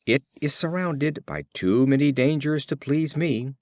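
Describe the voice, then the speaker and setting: A humble, cautious country mouse from a storybook, voiced by a male reader. He talks slowly and earnestly, in a dry, close recording. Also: on the high side, a little mellow, no echo, close to an everyday voice. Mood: resigned, wary.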